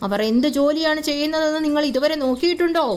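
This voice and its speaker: A woman's voice: sits high, quick pace, close to an everyday voice. A woman voicing a landlord's earnest, insistent wife in a cartoon moral story. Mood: suspicious. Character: landlord's suspicious, advising wife.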